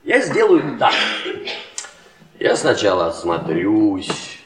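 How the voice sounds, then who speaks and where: An actor playing a brash, ambitious young biology lecturer in radio theatre, insistent and given to emphatic repetition. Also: male voice, fairly low pitch, huge pitch swings, takes it slowly, full character voice. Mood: cocky scheming. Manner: insistent, repeats himself emphatically. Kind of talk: Soviet radio theatre acting. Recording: echoey stage recording, off mic.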